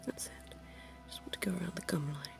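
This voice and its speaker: A woman voicing a centuries-old, genteel female vampire dentist in an ASMR roleplay, speaking in a soft, posh, coaxing whisper. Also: fairly low pitch, fast, vocal fry, music in the background. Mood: soothing.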